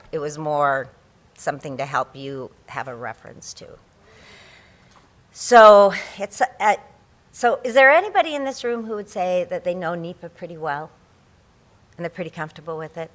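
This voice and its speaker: Low-voiced chatty woman teaching a seminar. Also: very animated, normal speed, fairly bright tone. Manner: chatty, self-deprecating, restarts often. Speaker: environmental law instructor. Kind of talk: conference presentation. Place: large conference room. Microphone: handheld or podium microphone.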